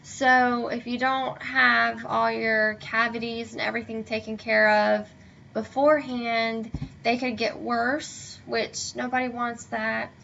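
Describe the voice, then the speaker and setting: A young woman chatting casually to camera, hesitant with many fillers, sounding a bit hoarse and tired. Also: on the high side, flat delivery, slow, bright, forceful tone, slight background noise. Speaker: vlogger. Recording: dull lo-fi webcam audio.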